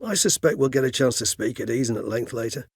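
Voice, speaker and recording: An actor playing an erudite, courteous older male vampire in a video game, speaking in formal, eloquent, measured phrases with a husky voice. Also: medium pitch, no room sound, studio quality. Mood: courteous, composed.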